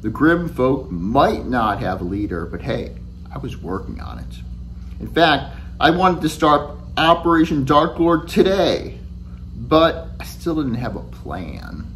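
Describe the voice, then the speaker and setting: Male author, forties, expressive storybook read, very varied intonation, chatty delivery, faint hum behind. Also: medium pitch, unhurried, poor audio. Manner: animated, conversational first-person storytelling. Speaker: author reading own book aloud. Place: small indoor room at home with shelves.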